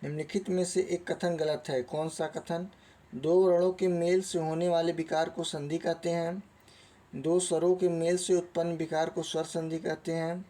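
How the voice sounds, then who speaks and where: A man's voice: a little high, level tone, moderate pace, dark tone. A man teaching a lesson, reading out quiz questions and options in a didactic way and then giving the answers. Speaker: Hindi grammar teacher.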